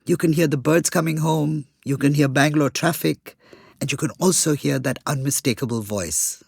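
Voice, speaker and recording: A woman co-hosting a podcast, telling things in a conversational, expressive way. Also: low voice, normal speed, creaky, slightly rough, no echo, clean studio sound. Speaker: podcast co-host.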